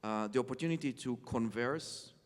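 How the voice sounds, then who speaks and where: A man speaking reflectively as a guest on stage, halting and repeating phrases for emphasis. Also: medium pitch. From Israel. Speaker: interview guest, orchestral cellist. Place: on stage in a concert hall. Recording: reverberant concert hall.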